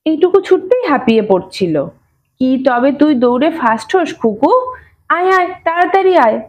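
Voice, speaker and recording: An evasive father in an audio story, acted by a woman reader, talking in a raised pitch. He blusters and cajoles with rhetorical questions, brushing off his child, on a slightly dull recording. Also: big pitch swings, moderate pace. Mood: breezy, distracting.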